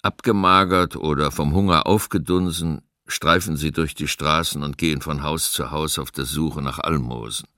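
Very deep, somber male survivor. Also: fairly even intonation, normal speed, few pauses, pushed and bright, dry recording, studio quality. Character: somber male survivor describing a starving occupied city. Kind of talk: documentary radio play, scripted testimony.

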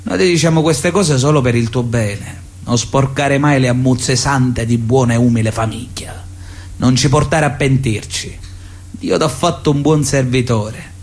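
An actor playing a pious, paternalistic Sicilian mafia elder, solemnly sermonizing with veiled menace in a radio drama letter. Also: male voice, medium pitch, darkish tone, natural voice. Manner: solemn, sermonizing, measured insistence. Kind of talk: theatrical radio drama monologue, letter read aloud.

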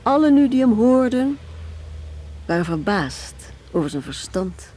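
A woman's voice: a little high, big pitch swings, unhurried, warm tone. An actress in a Dutch radio drama playing a curt, stubborn working mother who defiantly guards her son. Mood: proud, defiant. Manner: brusque, stubborn, dismissive.

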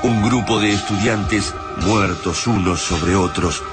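A man's voice: low-pitched, slow pace. An Argentine man narrating a radio drama in a solemn, ominous, dramatic scripted style. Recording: music and effects behind.

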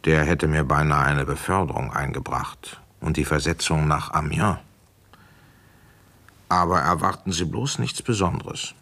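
An actor playing a gruff, resigned, middle-aged French police commissioner in a German radio play, with a sardonic, grumbling way of talking. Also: male voice, a bass voice, measured pace, a bit bright, full character voice. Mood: weary, sardonic. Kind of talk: German radio play acting and first-person narration.

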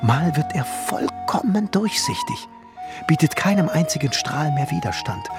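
An actor playing a reverent, awestruck glassmaker in a German radio play, speaking in a halting, theatrical way that often drops towards a whisper. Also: male voice, fairly high pitch, very animated. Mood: hushed fascination. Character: awestruck male glassmaker rapturously contemplating a painting. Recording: loud music behind the voice.

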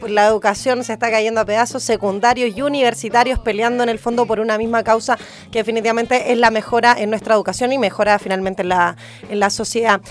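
A woman co-hosting a radio talk show, asking questions haltingly. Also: a little high, steady tone. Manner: halting, with many fillers. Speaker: radio co-host. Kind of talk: radio discussion.

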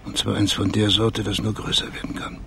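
An actor playing a sleuth in a German radio crime drama, with a gravelly voice and a terse, measured, matter-of-fact manner. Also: male voice, lowish voice, level tone, a bit bright, a little hiss or hum. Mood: calm, grave. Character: low-voiced male sleuth calmly reconstructing a murder.

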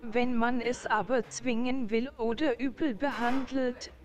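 An actress playing a doting, prim sister in a German radio drama, with an earnest, deliberate way of speaking. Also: female voice, a little high, steady tone, close to an everyday voice. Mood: prim, reproachful.

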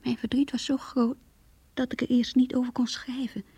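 A woman's voice: high voice, little pitch movement, normal speed, dark tone, breathy edge, no echo, full character voice. An actress playing an earnest, lovesick young woman who confides her diary in a Dutch radio play. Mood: hurt, sorrowful. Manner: earnest, intimate.

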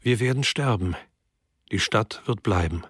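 Solemn old archivist, low husky voice, dry sound. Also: male voice, animated, no room sound. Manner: solemn, measured diary reading. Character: grave elderly historian writing a wartime diary. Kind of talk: documentary radio drama reading.

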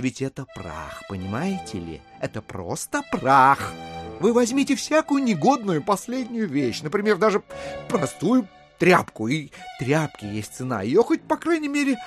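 High-voiced, wheedling gentleman swindler. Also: very animated, moderate pace, soft background music. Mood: impatient, reasoning. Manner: insistent, wheedling, theatrically emphatic. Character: smooth-talking, scheming gentleman swindler, increasingly exasperated. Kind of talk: classic literary audio drama acting.

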